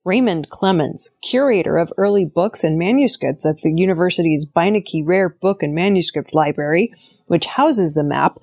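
A woman presenting scripted news in a measured, formal read delivery. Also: mid-range voice, creaky, a little mellow.

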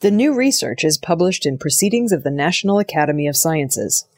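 A woman newsreader delivering scripted narration in a measured, formal manner. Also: sits low, expressive. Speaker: audio news reader.

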